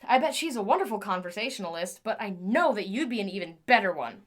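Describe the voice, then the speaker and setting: A woman's voice: medium pitch, very animated, fast. A woman playing an obsessive, lonely scientist and tinkerer in an audio drama, chatty and theatrical with a volatile intensity. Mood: brittle cheerful chatty.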